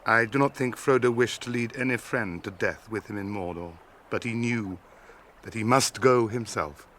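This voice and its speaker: An actor playing a noble, grieving ranger leader in a radio drama, speaking in a grave, measured, theatrical way. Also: male voice, fairly low pitch, a bit bright, slight background noise, lightly acted. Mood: grave, resolute. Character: noble, grieving male ranger leader, weary and self-blaming.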